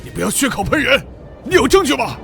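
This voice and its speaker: An actor voicing a scheming, self-preserving city military commander in a motion-comic drama, emphatic and officious. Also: male voice, very high voice, very animated, quick pace, vocal fry, over music, heavily acted. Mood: falsely sincere, defensive.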